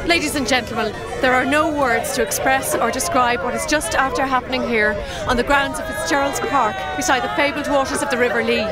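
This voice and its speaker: A woman's voice: high-pitched, natural voice. A woman playing a melodramatic, overwrought Irish local reporter in a parody of a live news report. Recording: loud music and outdoor crowd behind.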